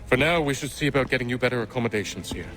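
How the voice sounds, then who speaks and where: An actor playing a cold, scheming gentleman henchman in a video game, speaking in a sly, condescending way. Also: medium pitch, rapid-fire, lightly acted. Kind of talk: video game cutscene acting.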